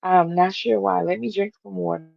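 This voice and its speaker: Raspy-voiced woman hosting a live stream. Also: lowish voice, steady tone, brisk, lo-fi sound. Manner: chatty, addresses the chat with many ums. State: raspy morning voice. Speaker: livestream host. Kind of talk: casual live-stream chat.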